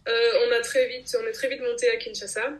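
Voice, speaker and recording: A woman from Belgium, talking casually as a podcast guest and telling her story in an animated, laughter-prone way. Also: on the high side, a little fast. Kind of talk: casual conversational interview.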